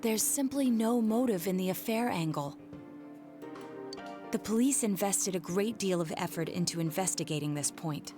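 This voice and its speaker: A woman's voice: mid-range voice, moderate pace, over music, lightly acted. A woman playing a composed young detective in a video game, earnest, formal and precise in explaining. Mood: analytical, serious. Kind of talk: English video game voice acting.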